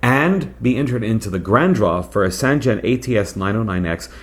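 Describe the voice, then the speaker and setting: A man's voice: fairly low pitch, expressive, moderate pace. A man hosting a product review in a conversational, emphatic way, speaking directly to viewers. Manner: conversational, emphatic, addresses viewers with rhetorical questions. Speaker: host and radio reviewer. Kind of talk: casual product review to camera.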